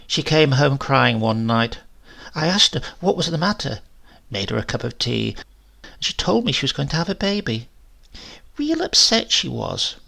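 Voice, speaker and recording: Storybook old landlady, played by a man: gossipy, defensive, confiding, crackly and hoarse-edged. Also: medium pitch, big pitch swings, moderate pace, pushed and bright, exaggerated voice. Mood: gossipy, insistent. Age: older. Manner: gossipy, colloquial, rambling, confiding. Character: chatty, defensive old landlady near seventy. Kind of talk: dramatized horror story reading.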